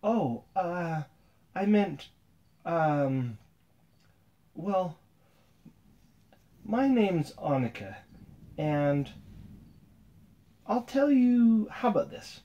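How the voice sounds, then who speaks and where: A man voicing a kind, secretive giant little girl in a bedtime story, with a soft, hesitant, coaxing way of talking. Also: high voice, lively intonation, drawn-out words, long pauses, highs cut off, voice pushed up, full character voice. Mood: evasive.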